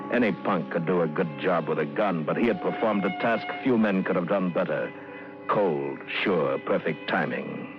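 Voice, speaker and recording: A man's voice: low voice, normal speed. A man with an American accent delivering scripted narration for a 1950s radio crime drama. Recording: dull 1950s radio recording. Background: prominent dramatic music.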